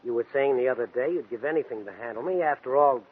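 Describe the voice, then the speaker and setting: Actor as a smooth scheming man, old tinny radio. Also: mid-range voice, fast talker, close to an everyday voice. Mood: smooth, pressuring. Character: scheming actor turned murderer, smooth, coldly controlled man. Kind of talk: 1940s radio drama acting. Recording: muffled, tinny vintage 1940s radio recording.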